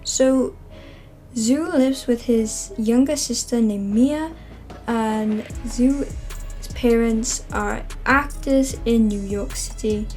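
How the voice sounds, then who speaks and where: Young woman, fairly high voice, loose rambling vlog host chatter with fillers. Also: slow, a little mellow, soft background music, rough recording. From Wales. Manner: rambling, many fillers and self-corrections. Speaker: channel host. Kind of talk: casual chatty vlog narration.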